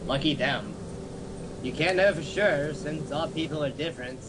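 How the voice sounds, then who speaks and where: A man's voice: a little high, normal speed. A man co-hosting an amateur let's-play, mixing casual joking commentary with reading game text aloud. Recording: noisy amateur home recording.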